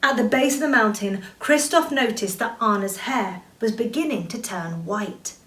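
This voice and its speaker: Roomy, higher-voiced woman reading a bedtime story. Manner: animated, dramatic emphasis with drawn-out words. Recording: roomy echo, distant from the mic.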